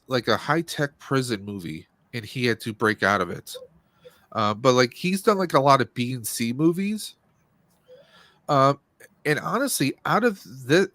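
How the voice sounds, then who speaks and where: A man co-hosting a live stream, giving casual movie commentary in a rambling, halting way as he searches for words. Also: medium pitch, fairly bright tone, no room sound.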